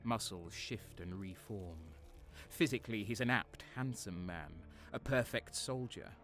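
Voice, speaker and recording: An actor playing a grim military officer in a dark sci-fi audio drama, brooding and deliberate. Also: male voice, on the low side, soft background music. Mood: brooding, wary.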